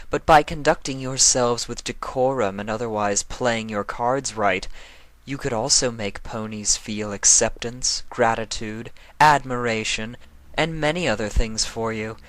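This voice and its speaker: A man's voice: medium pitch, fairly bright tone, no room sound, voice pushed up, lightly acted, rough recording. A man voicing a regal, villainous female winged unicorn with a condescending, theatrically mocking manner. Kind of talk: fan fiction character voice.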